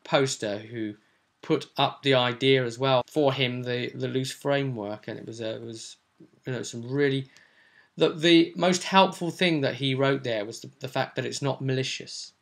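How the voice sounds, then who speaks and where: Male game master and host, creaky voice, mid pitch, informal storyteller recapping a tabletop session. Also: normal speed.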